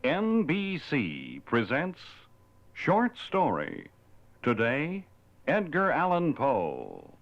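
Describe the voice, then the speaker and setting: A man's voice: mid-range voice, wildly swooping pitch, extremely slow, noisy background. A man announcing a vintage radio drama in a grand, dramatic way. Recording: dull old radio transcription with music behind.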